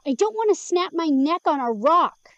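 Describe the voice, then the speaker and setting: A woman's voice: very high-pitched, very animated, normal speed, a bit bright, no room sound, dull audio, exaggerated voice. An actress playing a jittery young woman vampire, anxious and exclamatory in an audio drama. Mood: panicked.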